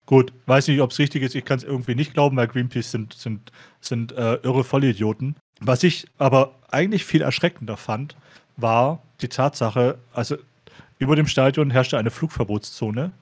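Guy co-hosting a chatty podcast, average pitch, keeps repeating words and restarting sentences. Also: darkish tone. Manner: halting, repeats words as he searches for phrasing. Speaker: podcast co-host. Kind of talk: casual chat.

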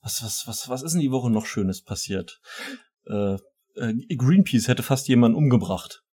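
A man with a husky, slightly rough voice at a middle pitch, talking as a podcast co-host. His delivery is informal and conversational, like everyday chat between two hosts. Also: expressive, moderate pace, mellow. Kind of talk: casual chat.

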